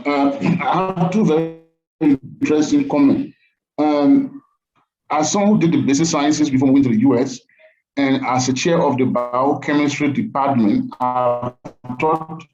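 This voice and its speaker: A man's voice: mid-range voice, a little mellow. A man, a biochemistry professor, speaking in an emphatic, lecturing way as a panelist on a video call. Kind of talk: online panel talk. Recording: muffled video call audio.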